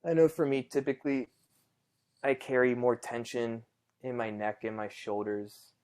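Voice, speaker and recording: A man leading a guided meditation conversationally, in a gentle, reassuring, unhurried way with pauses between phrases. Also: medium pitch, level tone.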